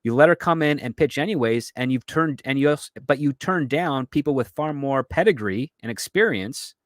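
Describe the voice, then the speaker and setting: A man's voice: medium pitch, animated, moderate pace, no room sound. Male commentator, middle register, very dry recording, conversational opinion delivery with dramatized emphasis. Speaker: panel guest, commentator.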